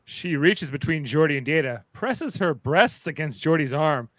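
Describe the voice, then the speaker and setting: Lively, high-pitched male narrator. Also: normal speed, no echo. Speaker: narrator reading stage directions. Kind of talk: scripted narration of a radio play live read.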